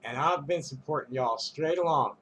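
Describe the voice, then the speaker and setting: A man's voice: fairly high pitch, normal speed, a bit bright, slight background noise, rough recording. A man ranting to camera in a casual vlog, rambling and profane, stumbling over restarts and chuckling between phrases. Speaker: vlogger.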